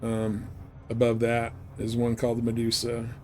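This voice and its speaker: A man's voice: medium pitch, monotone, moderate pace. A man giving casual, rambling live commentary as he plays a game, often muttering to himself. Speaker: game livestreamer. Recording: clearly noisy background.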